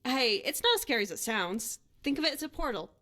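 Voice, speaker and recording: A woman's voice: a little high, sing-song, fast, pushed and bright. An actress voicing a young woman adventurer and hunter, a guilt-haunted but bold companion in a fantasy game, speaking in a natural, conversational way. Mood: casual, coaxing. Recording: music under the voice.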